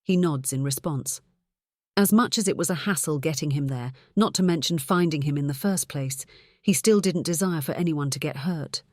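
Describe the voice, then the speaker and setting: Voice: medium pitch, normal speed, a little mellow, no room sound, clean studio sound. A narrator reading scripted fiction for an audiobook.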